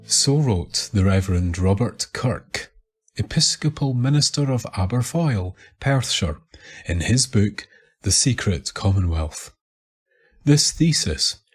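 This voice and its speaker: Male narrator, Scottish. Scripted mysterious storytelling, lowish pitch, unhurried pace, pauses for effect. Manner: measured, deliberate, with dramatic pauses. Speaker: writer and narrator of the show. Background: music bed.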